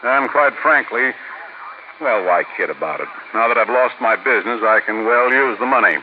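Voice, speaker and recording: A man's voice: mid-range voice, animated, moderate pace, natural voice. An actor playing a stiff, haughty businessman down on his luck in an old radio drama. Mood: frank, resigned. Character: smooth-talking, indignant bankrupt businessman, male. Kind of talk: 1950s radio drama acting. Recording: tinny old radio recording.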